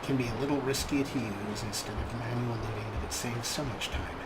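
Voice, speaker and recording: A man hosting a let's play, commenting on the game and speaking to his viewers in a relaxed, wry way. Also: mid-range voice, fairly quick, very noisy. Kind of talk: gameplay commentary.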